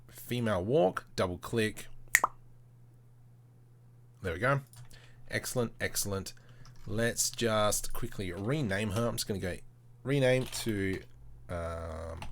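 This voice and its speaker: Low-voiced man, casual tutorial streamer. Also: slight background noise. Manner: informal, slangy, thinking aloud in short step-by-step phrases. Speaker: tutorial host, livestreamer. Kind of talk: casual live screen-share tutorial commentary.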